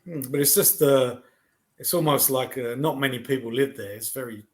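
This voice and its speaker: A man, teaching over a video call in relaxed, chatty talk. He laughs often and trails off with fillers. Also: mid-range voice, moderate pace. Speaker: online English tutor. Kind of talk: casual conversation lesson.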